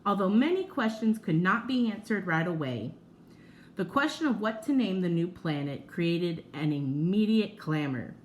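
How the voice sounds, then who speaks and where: A woman reading a children's nonfiction book aloud like a teacher, in an expressive, measured storytelling manner with drawn-out emphasis. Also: lowish voice, slight background noise.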